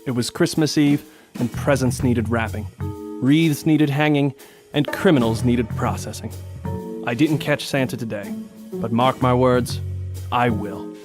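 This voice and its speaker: A man playing a determined, hard-boiled police detective in a noir radio drama, narrating and speaking in a terse, deadpan way. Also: medium pitch, unhurried, natural voice. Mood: grim, determined. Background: music.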